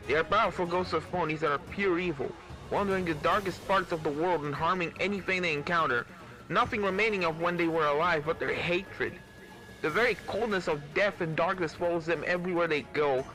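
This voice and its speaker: A learned pony professor acted by a man talks in a high voice over clear background music. The character is caring and earnest, explaining things like an expert in a fan-made storybook tale. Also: sharp tone, low-quality recording. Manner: earnest, explanatory, stammers when flustered.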